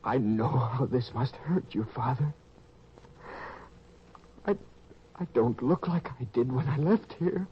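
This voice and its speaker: A man's voice: medium pitch, animated, slow, pauses often. A man playing an ashamed, ragged wanderer come home, contrite and tense, in old-time radio drama style. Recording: muffled lo-fi sound, faint noise.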